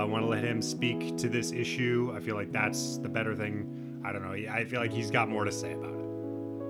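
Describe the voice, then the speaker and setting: A man hosting his own podcast, talking casually with many fillers and restarts, swearing now and then. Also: medium pitch. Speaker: podcast host.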